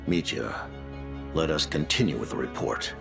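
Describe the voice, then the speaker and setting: An actor playing a composed, courteous but cold male leader in a video game, speaking in a measured, formal way. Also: a bass voice, creaky, over music, heavily acted. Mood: grave, commanding. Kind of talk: video game cutscene acting.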